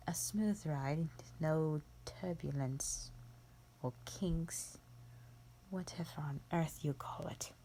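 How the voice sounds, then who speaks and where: A woman voicing a bored, contemptuous female vampire in an audio roleplay, with a breathy, creaky voice and a disdainful, formal drawl. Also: low voice, warm tone, slight background noise, low-quality recording. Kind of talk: scripted roleplay monologue for the listener.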